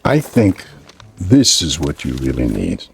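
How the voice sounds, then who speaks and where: An actor playing an elderly, courteous and cryptic peddler in a vintage radio drama, speaking in evasive riddles. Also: male voice, a bass voice, wildly swooping pitch, a little slow, creaky, a little hiss or hum, heavily acted. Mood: kindly, cryptic. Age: older. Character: mysterious elderly peddler, gentle, cryptic old man.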